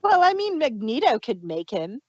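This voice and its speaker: Woman, very high voice, unhurried, casual host chat, dry and slightly muffled lo-fi recording. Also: big pitch swings, breathy edge, dry recording. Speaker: host of an audio drama review.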